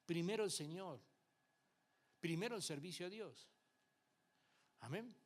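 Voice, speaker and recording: A Uruguayan man with a Mexican tinge in his speech, preaching to his church in a slightly higher voice. He talks in a conversational way, checking in with the congregation and acting out what people say. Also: expressive, measured pace. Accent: Uruguayan Spanish with some Mexican influence. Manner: conversational preaching with frequent rhetorical checks and acted-out quotes. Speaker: pastor. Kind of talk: Bible class sermon to a congregation.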